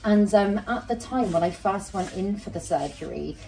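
A woman in her thirties with a deep voice, chatting casually and rambling to camera as a plant channel host while she repots a plant in her small home kitchen. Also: normal speed, vocal fry, darkish tone, a little hiss or hum, poor audio. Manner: chatty, rambling, self-interrupting; handling soil and roots while talking to camera. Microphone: fixed camera at medium distance.

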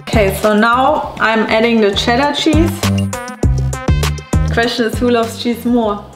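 A woman's voice: on the high side, a little slow, over loud music. A young woman co-hosting a home cooking show, cheerfully explaining each step of a recipe on a lapel mic in a home kitchen. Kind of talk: walking through a recipe step by step.

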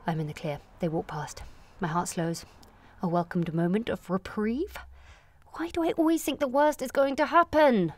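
A woman in her late twenties performing a confessional comic monologue in a candid, wry, self-questioning way, moving between confiding and animated. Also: medium pitch, moderate pace, slight background noise. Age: late 20s. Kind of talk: confessional comic audio monologue, present-tense narration.

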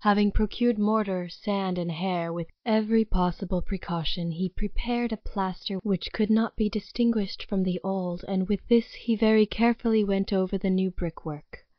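A woman narrator reading a scripted dramatic story in a formal, deliberate storytelling manner. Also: medium pitch, steady tone, no room sound, dull audio.

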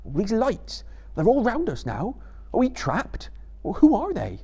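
A man's voice: high voice, huge pitch swings, slow pace, darkish tone, slightly rough, pitched above the performer's own voice, exaggerated voice. A man voicing an anxious, humble, loyal hobbit servant in a live book reading, fretful and plain-spoken. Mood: frightened.

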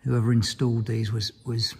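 A man's voice: mid-range voice, flat delivery, normal speed, vocal fry, slightly rough. A man giving an informal technical explanation in a matter-of-fact, understated and somewhat hesitant way, as a maintenance engineer. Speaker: aircraft maintenance engineer presenting.

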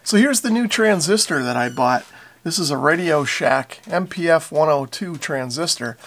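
A man in his forties narrates a repair job in a casual way. His voice sits a little high for a man and has a clear gravelly creak. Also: expressive, normal speed, a bit bright. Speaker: repair channel host.

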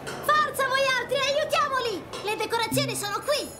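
A very high, tiny voice for an eager cartoon firefly in a game. It is small and chirpy, with music playing behind it. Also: female voice, normal speed. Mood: eager, urgent.

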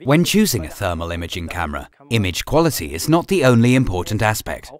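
A man reading a scripted training film narration in a measured, instructional manner over music. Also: on the low side, big pitch swings.

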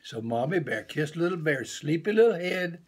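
A man in his seventies reading a children's picture book aloud expressively in a small room at home. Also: on the high side. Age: 70s. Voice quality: gravelly, husky. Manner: slow, deliberate, animated.

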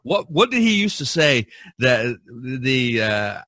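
A man hosting an artists' podcast over a video call, rambling and halting with many fillers. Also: mid-range voice, big pitch swings, pushed and bright, no room sound. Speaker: podcast host. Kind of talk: casual video-call chat.

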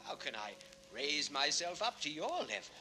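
A man playing a hard-pressed young orphaned nephew in a vintage children's record drama, halting, emotional and deferential. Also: fairly high pitch, very animated, over music. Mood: pleading, reproachful. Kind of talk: 1960s children's record radio-play acting. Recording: noisy vintage vinyl record.

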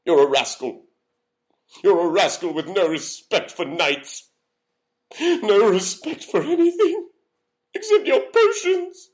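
A man's voice: on the high side, expressive, unhurried, voice pushed up. A man voicing a desperate, insistent knight in a comic cartoon sketch, pleading and indignant.

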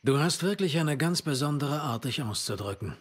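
Ein Schauspieler als belasteter, verschlossener Sergeant in einer deutschen Videospiel-Synchronisation, ernst und abwehrend. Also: male voice, fairly high pitch, expressive, normal speed, husky. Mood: weary. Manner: serious, defensive. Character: guarded, burdened American squad sergeant. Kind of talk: German video game dub acting.

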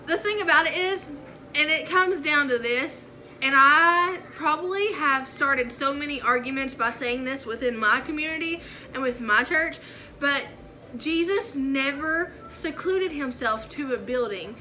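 Earnest bright-voiced Southern woman. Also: high-pitched, unhurried, a little hiss or hum. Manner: earnest, preachy and animated with hand gestures. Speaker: vlogger and preacher. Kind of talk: casual chat while folding laundry. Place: living room at home. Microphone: camera on the floor in front of her.

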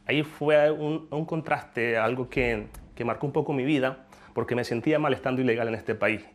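A man's voice: medium pitch, moderate pace. Earnest businessman. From Colombia. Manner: earnest, faith-laden, with restarts. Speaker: business owner interviewee. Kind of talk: interview testimony. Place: indoor room before a world map.

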